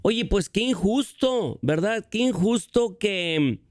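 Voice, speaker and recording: A male radio host asking questions in a halting way, with many repeats and filler sounds. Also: sits high, animated, a little mellow, dry recording, studio quality. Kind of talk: interviewing.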